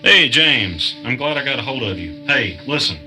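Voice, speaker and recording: A man's voice: medium pitch, very animated, moderate pace, bright, forceful tone, faint music behind. An actor playing a suspicious, stubborn man under stress in a naturalistic audio drama. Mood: urgent.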